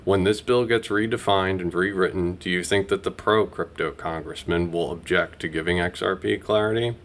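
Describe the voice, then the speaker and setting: A man's voice: low voice, moderate pace, a bit bright. A man giving scripted news commentary as a video host.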